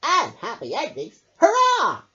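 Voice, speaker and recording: A man's voice: extremely high pitch, wildly swooping pitch, measured pace, heavy background noise, pitched above the performer's own voice, full character voice. A man voicing a hopeful, timid male hedgehog in a storybook, in a dull lo-fi recording. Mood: fretful. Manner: hopeful, fretful.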